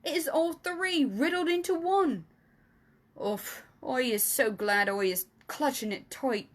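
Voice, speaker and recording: Here is a big, kindly storybook giant, acted out by a woman. He is earnest and excitable, explaining things emphatically. His speech is folksy and muddled in its grammar. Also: sits high, takes it slowly, pitched above the performer's own voice. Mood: exasperated, triumphant. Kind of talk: children's storybook character reading.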